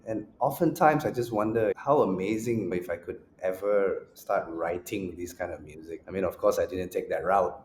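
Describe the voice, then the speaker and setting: A man, a guest in a casual interview who answers in a measured, reflective way and lays out his points in order. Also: low-pitched, darkish tone. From Malaysia. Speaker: guest, music school founder. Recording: roomy echo with faint background noise.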